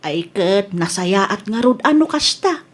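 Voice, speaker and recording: A woman playing a concerned mother of a grown daughter in a radio drama, gently questioning. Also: mid-range voice, expressive, slow. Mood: concerned, calm. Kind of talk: Ilocano radio drama acting.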